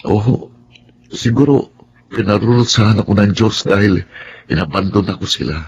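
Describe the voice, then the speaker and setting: A man's voice: on the low side, moderate pace, darkish tone, lo-fi sound, a little hiss or hum, natural voice. An actor playing a humble, guilt-ridden Filipino husband and father in a radio drama, earnest and respectful. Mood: remorseful.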